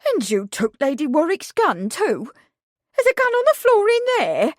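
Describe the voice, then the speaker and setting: A woman's voice: sits high, very animated, measured pace, darkish tone, no echo, studio quality, pitched above the performer's own voice, heavily acted. A woman voicing a middle-aged household servant with rustic country speech, blunt and matter-of-fact. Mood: aghast.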